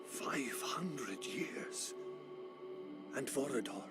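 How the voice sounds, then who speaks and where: A man voicing an ancient vampire elder in a video game, a solemn prophet who speaks gravely and urgently in a gravelly voice. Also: medium pitch, lively intonation, over loud music. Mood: weak, dazed. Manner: slow, urgent, solemn, archaic phrasing. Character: ancient male vampire elder, awakened, earnest pleading prophet. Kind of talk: dramatic video game voice acting.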